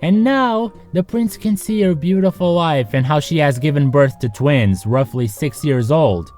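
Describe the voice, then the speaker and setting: A man's voice: fairly high pitch, animated, measured pace, a little mellow, faint music behind. A man narrating a comedic scripted video as its host, sarcastic and conversational, with joking asides to the viewer.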